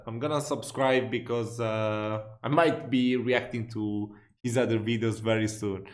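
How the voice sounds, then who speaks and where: A man with an Italian accent hosting a reaction video, chatty and quick to exclaim and interject. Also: medium pitch, fairly even intonation, echoey room. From Italy. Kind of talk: casual reaction commentary.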